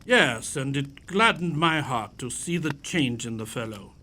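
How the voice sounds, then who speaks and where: An actor playing a proper, earnest gentleman and storyteller in a period audio mystery, with an expressive manner. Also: mid-range voice, measured pace, a little hiss or hum. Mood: reflective, admiring.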